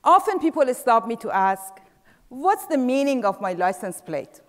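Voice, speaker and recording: Middle-aged Persian-accented woman, high voice, slow and measured stage delivery, reverberant hall. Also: big pitch swings. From Iran. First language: Farsi. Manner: measured, trailing off softly at phrase ends. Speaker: speaker. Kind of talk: prepared stage talk. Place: large dark theater stage. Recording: theater with long reverb.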